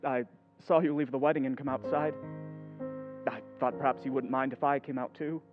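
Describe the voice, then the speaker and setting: Man acting as a high-voiced, lovesick young suitor. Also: expressive, moderate pace, muffled recording, over music. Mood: gentle, devoted. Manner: pleading, emotionally swelling delivery. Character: lovesick, ardent, impetuous young gentleman suitor. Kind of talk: old-time radio drama acting.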